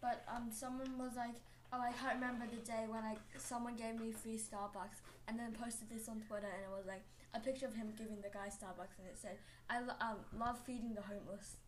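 A young woman co-hosting a casual podcast, chatty and quick to explain the joke. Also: on the high side, steady tone, a little hiss or hum. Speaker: podcast co-host. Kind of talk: casual chat.

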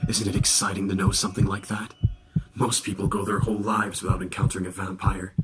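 A man playing a sadistic, theatrical vampire in an audio roleplay, savoring his words with hushed menace. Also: low-pitched, level tone, quick pace, background noise, natural voice. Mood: gloating, hungry. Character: predatory gloating male vampire, sadistic and theatrical. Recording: loud music over the voice. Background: music.

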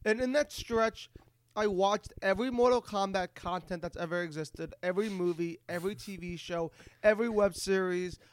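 A man co-hosting a casual comedy podcast, excitable and stammering, often repeating his words. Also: high-pitched, moderate pace, clean tone, dry recording. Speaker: podcast co-host.

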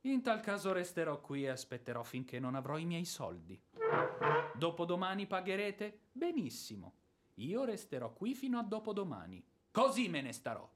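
An actor playing a boorish, hot-tempered ex-officer creditor in a radio drama, sarcastic and theatrical, moving between muttering and bluster. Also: male voice, sits high, very animated, moderate pace. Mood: indignant. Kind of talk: radio drama stage acting.

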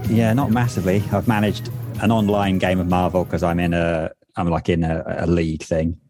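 A man's voice: low-pitched, level tone, moderate pace, music in the background. A middle-aged man, co-hosting a podcast in casual chat, talking in loose, restarting sentences. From England. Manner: chatty, with frequent restarts and fillers. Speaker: podcast co-host.

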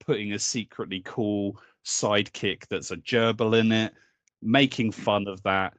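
A man's voice: fairly low pitch, fairly even intonation, unhurried, fairly bright tone, no room sound. A man co-hosting a podcast in casual comic banter, joking and swearing as he rambles along. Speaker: podcast co-host.

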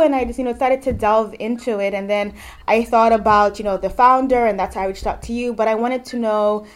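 Woman host from Zambia, mid pitch, chatty, rambling questions. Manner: chatty, loose questions with many likes and you knows. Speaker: podcast host. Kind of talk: casual interview.

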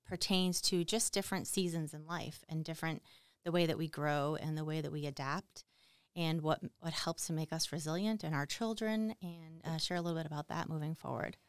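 A woman in her forties with a Boston accent, introducing herself as a podcast guest in a conversational, halting way, thinking aloud as she goes. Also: fairly low pitch, clear, resonant tone, dry recording, clean studio sound. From Boston, USA. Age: 40s. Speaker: podcast guest, military veteran and mother.

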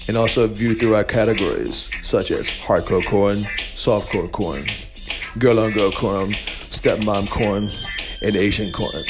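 A man doing a sleazy mock commercial voice-over in an infomercial pitch. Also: a little slow. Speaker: parody ad announcer. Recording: music bed behind.

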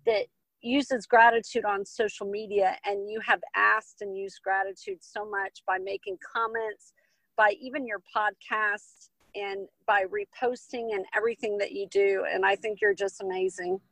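A woman's voice: medium pitch, fairly even intonation, normal speed, a bit bright, no room sound, low-quality recording. American woman speaking in a dry recording. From Texas and Hawaii, United States. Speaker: podcast guest, business coach and author. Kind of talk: conversational interview answers.

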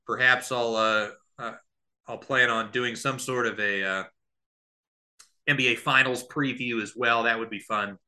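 A man calling live basketball play-by-play as a podcast host, somewhat halting between plays. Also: mid-range voice, steady tone, bright, forceful tone.

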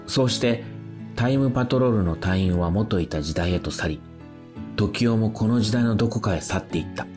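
A man's voice: fairly low pitch, normal speed, music in the background, lightly acted. A man playing a bewildered ordinary man in a radio drama, casual and wondering in his dialogue and first-person narration. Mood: pensive. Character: bewildered ordinary man entrusted with a future device.

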